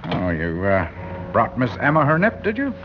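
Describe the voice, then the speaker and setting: Blustery, sarcastic man with swooping pitch. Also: wildly swooping pitch, normal speed. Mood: mocking. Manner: mocking, blustery, impatient. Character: brusque, sarcastic, impatient male elevator passenger. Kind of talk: classic radio drama acting. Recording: dull, hissy old radio recording.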